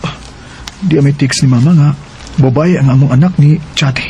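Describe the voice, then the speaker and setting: An actor playing a smooth-talking, evasive young two-timer in a radio drama, stalling in a Cebuano accent. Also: male voice, a little high, moderate pace, background noise, close to an everyday voice. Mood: musing.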